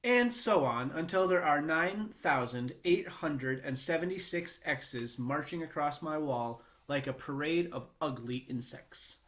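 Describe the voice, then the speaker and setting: A man reading a children's book aloud in a measured, expressive storytelling manner with short pauses. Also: fairly high pitch. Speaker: read-aloud reader.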